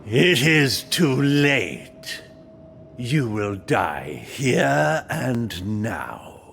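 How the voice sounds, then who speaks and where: A proud ghostly knight in a game cutscene. He talks slowly in a gravelly voice that swells and sinks theatrically. His manner is courtly and pompous, full of cold menace. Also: medium pitch, very animated, a bit bright, slight background noise, exaggerated voice. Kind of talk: video game cutscene acting.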